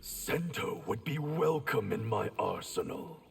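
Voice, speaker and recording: Monstrous game warrior: very gravelly, low, menacing, music underneath. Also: male voice, expressive, measured pace. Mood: challenging. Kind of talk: video game fight intro dialogue.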